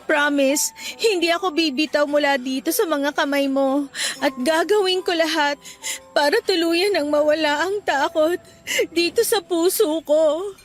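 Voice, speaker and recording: A tender, insecure young girlfriend in a Filipino radio love drama. Her voice is very high and full of emotion, pleading in a Filipino accent. Faint music plays behind her. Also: measured pace. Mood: grateful, tender. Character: anxious, tender young girlfriend, tearful and devoted.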